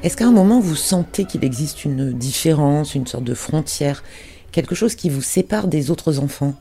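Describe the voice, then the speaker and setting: Interviewer, gravelly, mellow tone, measured questions, faint music underneath. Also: mid-range voice, animated.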